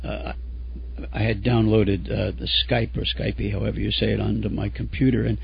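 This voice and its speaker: A man hosting a radio interview, hoarse and husky because he is fighting a cold. His voice is gravelly and rough, and he rambles through his questions with plenty of ums and uhs. The sound is dull, lo-fi radio audio. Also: fairly low pitch, little pitch movement, normal speed, no echo. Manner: chatty, rambling with many fillers. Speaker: radio show host.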